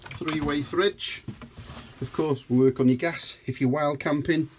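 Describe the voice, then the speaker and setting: A man's voice: on the high side, fairly even intonation, measured pace, slight background noise. Chatty man touring a motorhome on camera. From United Kingdom. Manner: chatty, addresses viewers as folks. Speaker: motorhome dealer and reviewer. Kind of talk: walkaround video review. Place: inside a motorhome, rain outside. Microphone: handheld camera mic.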